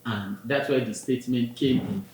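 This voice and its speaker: A Nigerian man talks things through on a podcast, earnest and patient, building each point from his own life. His voice rings out in a very echoey room. A faint low rumble sits under the recording. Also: moderate pace, a little mellow. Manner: earnest, explanatory, builds points with personal examples. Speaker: podcast co-host. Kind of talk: casual discussion.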